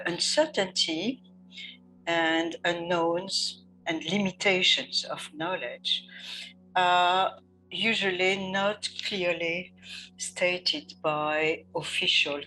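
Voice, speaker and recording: Woman expert talking real slow, bright tone, soft music behind. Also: on the low side, low-quality recording. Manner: measured, pausing often with fillers. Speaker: interviewed expert on radiation. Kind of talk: slow, considered interview answers.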